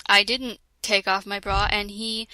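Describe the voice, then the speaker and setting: A woman's voice: medium pitch, level tone, measured pace, pushed and bright, slight background noise. A young woman doing a comedic dramatic reading of parody fan fiction, stumbling over words and laughing as she goes.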